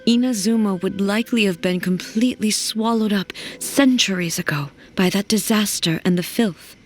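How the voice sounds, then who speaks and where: An actress playing a gentle, solemn immortal female ruler in a video game, speaking in a measured, formal and wistful way. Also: mid-range voice, close to an everyday voice. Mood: solemn, reflective. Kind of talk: video game dramatic dialogue. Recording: music playing behind. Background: music.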